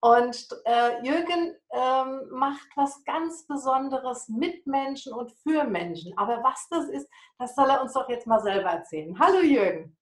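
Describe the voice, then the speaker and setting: A woman's voice: sits high, lively intonation, a little slow, echoey room. A woman hosting a podcast, introducing and interviewing a guest in a conversational way.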